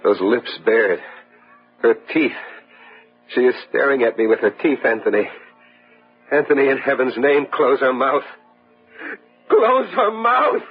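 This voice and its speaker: Husky, horrified, haunted man. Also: medium pitch, lively intonation, soft background music. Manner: halting, haunted, theatrical. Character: horrified grieving man losing his reason. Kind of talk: radio drama acting. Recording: tinny old radio recording.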